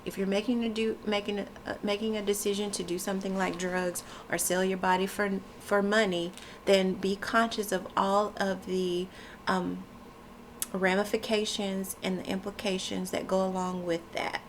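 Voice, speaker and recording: A woman's voice: mid-range voice, level tone, normal speed, slight background noise. A woman talking casually to camera with a stuffy nose and a froggy voice, chatty and loose with many fillers. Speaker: wellness vlogger. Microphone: camera mic.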